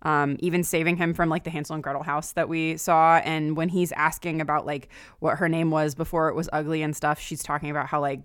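A woman's voice: low-pitched, level tone, a little fast, hardly any pauses, clear, resonant tone, dry recording, clean studio sound. A woman in a casual, thoughtful discussion, often saying "like" as she works through her points. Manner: frequent filler "like", thoughtful.

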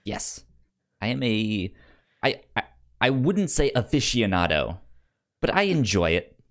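A man co-hosting a podcast in casual chat, halting and often stammering over repeated words. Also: fairly low pitch, very animated. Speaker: podcast co-host.

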